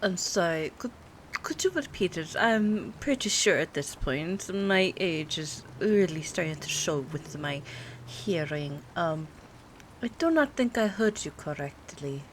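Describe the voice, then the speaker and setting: A woman acting an aloof, teasing old vampire in an ASMR roleplay, speaking softly and formally with hesitant pauses, often near a whisper. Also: lowish voice, expressive. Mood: flustered. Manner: formal, hesitant, haughty phrasing with drawn-out pauses. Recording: clear background ambience noise. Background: rain, city ambience, soft music.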